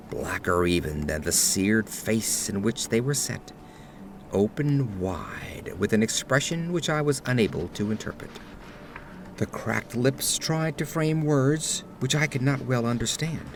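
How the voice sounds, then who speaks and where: A man tells a gothic horror story slowly and dramatically, with suspenseful phrasing. His voice is fairly low and gravelly, and faint ambient noise sits underneath. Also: animated. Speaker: narrator. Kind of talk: dramatic scripted narration of gothic horror fiction. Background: ambient sound effects.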